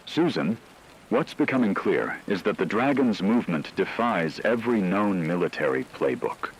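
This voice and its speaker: A man playing a grave radio news anchor, delivering scripted breaking news in a solemn, measured, dramatic way. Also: low voice, lively intonation. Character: grave male radio anchor reporting a catastrophe. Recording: noisy hissy radio broadcast.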